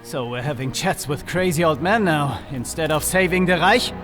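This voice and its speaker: A man plays a zealous prince in a game cutscene, arguing fervently. The voice is high and sharp, tense and insistent, with faint music behind it. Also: moderate pace, lightly acted. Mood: urgent, scornful. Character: hot-headed, zealous German prince and officer.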